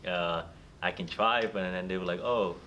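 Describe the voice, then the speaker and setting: A man's voice: low-pitched, normal speed, sharp tone, highs cut off, a little hiss or hum. A man student telling a casual anecdote.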